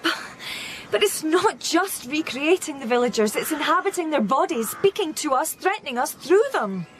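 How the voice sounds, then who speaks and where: A woman playing an anguished, defiant grieving mother in an audio drama, sharp-tongued and emotional. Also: high-pitched, big pitch swings, normal speed, a little hiss or hum, poor audio. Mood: questioning. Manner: emotional, searching, challenging.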